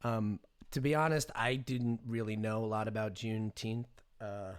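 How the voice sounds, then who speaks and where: A man's voice: lowish voice, fairly even intonation, moderate pace, clean tone. Irreverent American man in his thirties, casual rant. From United States. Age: 30s. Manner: irreverent, sarcastic, profanity-laced. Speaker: streamer commenting on the news. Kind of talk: casual off-the-cuff commentary.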